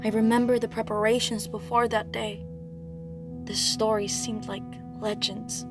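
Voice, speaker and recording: A woman's voice: fairly high pitch, little pitch movement, measured pace, music in the background, lightly acted. A woman playing an anguished, haunted young princess of Greek myth in a theatrical audio play. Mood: wistful, haunted.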